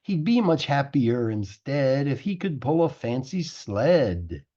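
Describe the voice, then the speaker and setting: Man, storybook narration, very lively pitch swings, mellow husky voice, theatrical drawn-out words. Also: lo-fi sound. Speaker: English teacher reading a picture book aloud. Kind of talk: expressive rhyming storybook narration.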